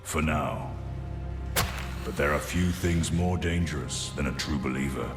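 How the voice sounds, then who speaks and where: A shrewd old nobleman from a fantasy game, with a very deep voice. He is grave and ominous, delivering each warning with deliberate weight. The room echoes and music plays behind him. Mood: foreboding. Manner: deliberate, portentous. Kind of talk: dramatic fantasy game acting. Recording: roomy echo, music behind.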